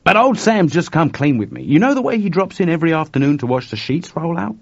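A man voicing an urgent, excitable and worried male computer engineer in an audiobook story, speaking colloquially. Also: on the high side, fast talker, creaky, no echo, voice pushed up, full character voice. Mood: animated, confiding. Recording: dull lo-fi dry recording.

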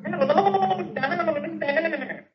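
A man's voice: very high voice, faint music behind, pitched above the performer's own voice, exaggerated voice. A man playing a young soldier calling out like a distant ghost in an old radio reading. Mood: eerie calling. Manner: drawn-out calling. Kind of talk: old radio funny-papers dramatization. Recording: dull vintage radio recording.

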